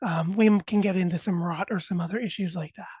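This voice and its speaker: Guy walking through plant care, steady explainer tone, ums, dry mic. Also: sits high, fast talker, breathy edge, no room sound. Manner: informative, steady, filler words. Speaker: podcast co-host, plant expert. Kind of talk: explanatory podcast talk.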